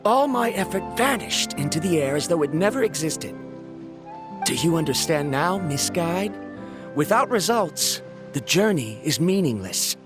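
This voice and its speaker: A man's voice: fairly high pitch, big pitch swings, a little slow, over music. An actor playing a proud, bitter male scholar in a video game, speaking formally and argumentatively. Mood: bitter, resolute. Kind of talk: video game character acting.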